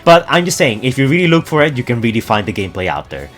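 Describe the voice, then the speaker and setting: A man talking informally and excitably to his viewers as a gaming news YouTuber, in a casual, enthusiastic commentary style. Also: mid-range voice, fast, faint music behind.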